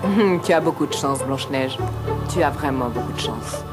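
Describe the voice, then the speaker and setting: A woman's voice: extremely low pitch, animated, moderate pace. An actress voicing a cruel, vain queen who threatens menacingly. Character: cruel vain queen, villain, adult woman. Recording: cartoon soundtrack with loud music.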